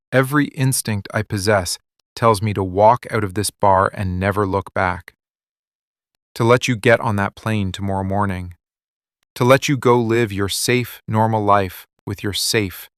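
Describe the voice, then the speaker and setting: A man playing a possessive, brooding mafia boss lover in a scripted boyfriend ASMR roleplay, menacing and commanding, with deliberate dramatic pauses. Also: fairly low pitch, no room sound, clean studio sound, natural voice. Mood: anguished, jealous, then torn, brooding.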